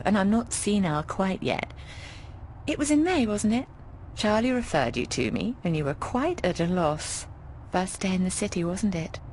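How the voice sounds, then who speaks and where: Casting: middle-aged British landlady, fond and cheeky, animated delivery, game dialogue over noisy ambience. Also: fairly low pitch. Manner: affectionate, frank, cheeky, often calls people darling. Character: warm, bawdy middle-aged British landlady of a boarding house. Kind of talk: video game voice acting.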